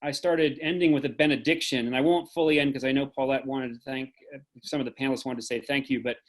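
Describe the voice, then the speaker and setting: A man speaking as host of an online event, giving earnest, deliberate closing remarks on a video call. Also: medium pitch, steady tone. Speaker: host and moderator.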